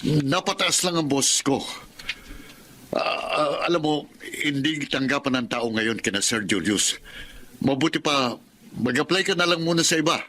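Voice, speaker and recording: A man's voice: on the high side, animated, moderate pace, bright, forceful tone. An actor with a Filipino accent playing a humble, hesitant working-class father and assistant in a radio drama. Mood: evasive, agitated.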